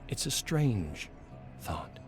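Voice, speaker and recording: An actor playing a brooding screenwriter in a game, reading his journal with deliberate, theatrical pensiveness. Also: medium pitch, sing-song. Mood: pensive. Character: brooding male screenwriter musing over his haunted journal. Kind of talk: scripted dramatic journal narration. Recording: music behind the voice. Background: music.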